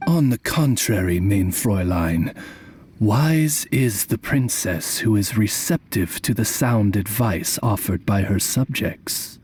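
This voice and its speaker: Courtly bird butler for anime game: rather low, measured and unhurried, polite formal diction. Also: male voice, expressive, darkish tone, breathy edge, heavily acted. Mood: gently advising. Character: courtly male talking bird, a princess's patient retainer. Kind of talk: anime video game voice acting. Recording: music behind the voice. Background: music.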